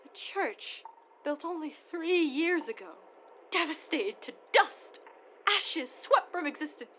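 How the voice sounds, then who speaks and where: An actress playing a distraught, fanatical woman preacher in an audio drama, lamenting in halting, repetitive sermon phrases. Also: high voice, expressive, dull audio, slight background noise. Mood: anguished lament. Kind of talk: modern audio drama acting.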